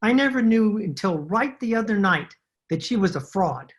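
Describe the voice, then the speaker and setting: Gullible husband for radio crime drama, played by a woman: earnest, dramatic, easily alarmed, lively swooping intonation. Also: mid-range voice, normal speed, lightly acted. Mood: earnest, defensive. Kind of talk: old-time radio drama acting. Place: home recording over video call.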